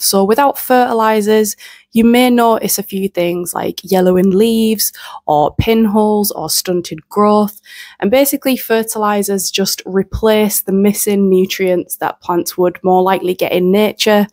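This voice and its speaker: A woman in her forties hosting an aquarium channel, explaining fertilisers to the camera in a chatty, self-deprecating way, her voice husky from a cold, speaking into a handheld mic at home beside her planted tanks. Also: mid-range voice, darkish tone, no echo, clean studio sound. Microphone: handheld mic held close.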